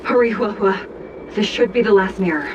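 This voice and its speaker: A woman playing a stern, composed judge in a video game, speaking in a formal, solemn, measured way. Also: mid-range voice, lo-fi sound, noisy background, natural voice. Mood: beckoning, solemn.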